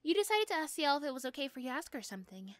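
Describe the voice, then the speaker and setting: A woman's voice: high voice, big pitch swings, rapid-fire, dry recording, clean studio sound. A woman narrating a scripted second-person roleplay story.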